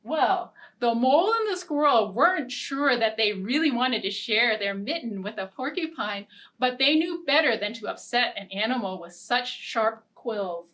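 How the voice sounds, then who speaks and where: A woman librarian leading a children's storytime, singing simple repeated verses and reading a picture-book story in a gentle, expressive way. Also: a little high, moderate pace, recorded from a distance. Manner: gentle, sing-song and expressive. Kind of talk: children's storytime with songs and ukulele.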